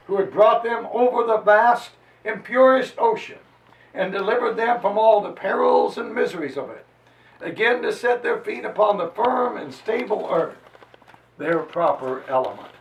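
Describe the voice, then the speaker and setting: A man giving a solemn, theatrical dramatized reading of a historical narrative, declaiming like a preacher with dramatic pauses and swells. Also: high voice, lively intonation, creaky, lo-fi sound. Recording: roomy echo, distant microphone.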